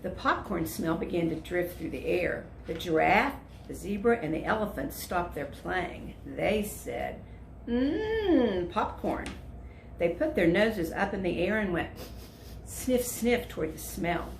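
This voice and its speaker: A woman reading a children's story aloud as a storyteller, deliberate and expressive. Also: on the low side, creaky, a little hiss or hum. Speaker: volunteer storyteller. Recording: echoey room.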